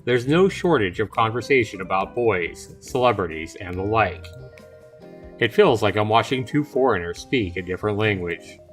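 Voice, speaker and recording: A man's voice: lowish voice, animated, moderate pace. A man livestreaming a visual novel, reading it out casually and dropping informal, chatty asides in between. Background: music.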